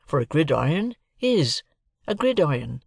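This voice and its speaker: A man voicing a simple, kindly male village blacksmith who speaks slowly and earnestly in rustic speech. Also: a little high, very animated, vocal fry, slightly rough, no echo, clean studio sound, voice pushed up, exaggerated voice.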